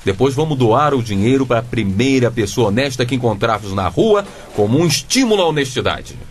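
Stern honest father voiced by a man, lecturing, lively rising pitch, faint hiss. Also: brisk, close to an everyday voice. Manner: lecturing, moralizing. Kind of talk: radio drama acting.

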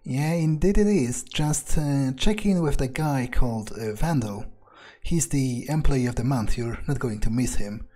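A man thinks aloud as his game character, wry and casual, with frequent swearing and filler words. His voice is mellow and dark-toned, and soft game music runs beneath it. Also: medium pitch, measured pace. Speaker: gamer roleplaying his character.

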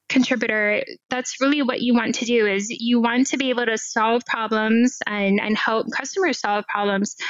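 A woman's voice: on the high side, dry recording. A middle-aged woman from Hawaii, a guest on a video-call interview, speaking thoughtfully with small restarts through a headset mic. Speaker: guest, cloud AI expert. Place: home room with window blinds. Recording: slightly dull lo-fi call audio.

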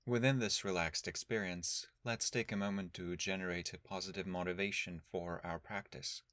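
A man leading a guided meditation as a teacher, speaking in a calm, measured, instructional way with long pauses. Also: deep voice, dry recording.

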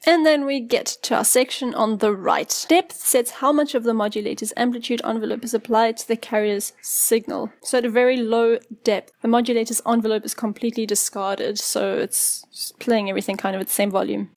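A woman narrating an instructional software tutorial as its presenter, explaining things in a casual way into a close mic. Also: fairly high pitch, fairly even intonation, fairly quick, hardly any pauses.

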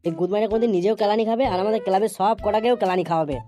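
A man's voice: high-pitched, very fast, dark tone, close to an everyday voice. Cartoon henchman, man performing: urgent, pleading, crude, races through lines. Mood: teasing, grumbling. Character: excitable, pleading male henchman and crony. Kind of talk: amateur comedy cartoon dubbing.

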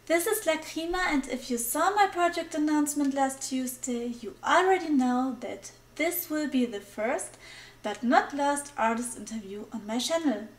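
A woman hosting her art channel, reading a brisk scripted intro and interview questions. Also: sits high, lively intonation. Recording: echoey room.

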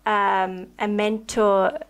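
A woman's voice: medium pitch, flat delivery, drawn-out words. Young woman podcast host at a studio mic. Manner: thoughtful, enthusiastic, many likes. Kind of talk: conversational interview. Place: home studio room. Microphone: studio mic on a boom arm with headphones.

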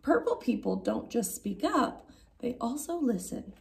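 Female teacher in her thirties for picture-book reading; very animated melody, unhurried, expressive emphasis. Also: on the high side, creaky. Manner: expressive, drawn-out emphasis on key words. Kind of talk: animated children's story read-aloud. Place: indoor classroom.

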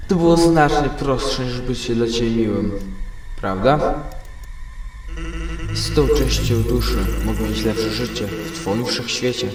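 A man's voice: medium pitch, measured pace, natural voice. A man in an amateur fan dub voicing a gloating, theatrical villain with a smug manner. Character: gloating villain stealing a soul for himself. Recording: very echoey, distant, music behind.

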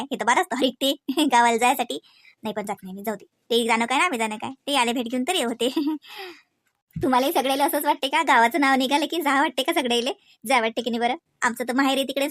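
A woman's voice: high-pitched, fairly bright tone, no room sound, studio quality. A woman voicing a chatty, homely wife and mother in a cartoon, speaking. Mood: wistful, amused. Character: chatty homemaker wife and mother, fond, mildly grumbling. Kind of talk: conversational cartoon dialogue.

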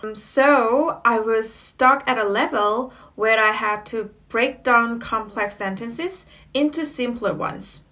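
A woman in her thirties, a native Korean speaker, talks straight to the camera from a small room at home. Her voice sits slightly high and she takes her time, with a friendly "you know" and the odd "um" along the way. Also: sharp tone. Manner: slow, careful, friendly with fillers like "you know" and "um". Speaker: English learning vlogger. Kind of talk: casual tips to camera.

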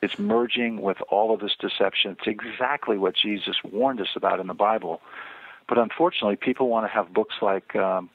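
An older man, an author and guest on a radio interview, speaking earnestly and measuredly with frequent pauses and fillers. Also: lowish voice, steady tone, slightly rough, dry recording. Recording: tinny phone line, dry.

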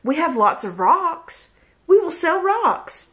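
Woman reader voicing a thoughtful small boy, high, lively storybook voice. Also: slow, exaggerated voice. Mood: curious. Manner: high, questioning child voice. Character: young boy Mouse, curious and thoughtful.

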